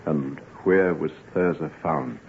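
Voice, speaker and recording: An actor playing a grave, remorseful gentleman doctor, formal and earnest, in an old-time radio drama. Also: extremely low pitch, takes it slowly, creaky, exaggerated voice. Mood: concerned, questioning. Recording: tinny, noisy old radio recording.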